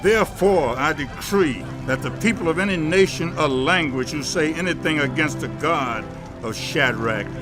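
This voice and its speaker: Guy playing proud volatile ancient king, highish male voice, regal n commanding, bible audio drama w music under it. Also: moderate pace, close to an everyday voice. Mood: solemn, exalting.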